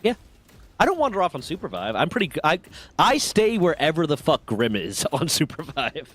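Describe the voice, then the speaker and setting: A man's voice: on the high side, very animated, moderate pace, fairly bright tone, breathy edge. A man in his thirties streaming a game and chatting casually, rambling and swearing as he tells stories. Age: 30s. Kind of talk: casual gaming chat.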